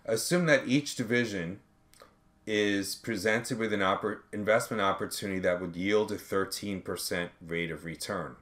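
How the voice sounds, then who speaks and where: A man working step by step through an accounting tutorial as an instructor, in a measured way, reasoning aloud as he calculates. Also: on the low side, a bit bright. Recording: background noise with keyboard typing clicks.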